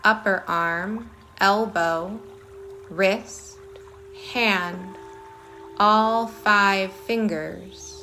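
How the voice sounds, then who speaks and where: A woman leading a guided body-scan meditation in a soothing manner, speaking in short phrases with long pauses between them. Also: mid-range voice, steady tone, bright, forceful tone, slight background noise. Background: singing bowls.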